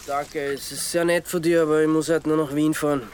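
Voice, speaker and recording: An actor playing a wry, resigned young amateur sleuth with an Austrian accent in a naturalistic radio drama. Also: male voice, fairly high pitch, level tone, a little fast, a little mellow, slight background noise, close to an everyday voice. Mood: puzzled, wry.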